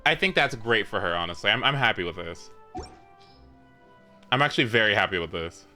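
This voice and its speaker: A man chatting casually as he streams a video game, often leaping into high, squeaky exclamations. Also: expressive, a little fast, bright, forceful tone, faint music behind. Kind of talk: casual gaming stream chat.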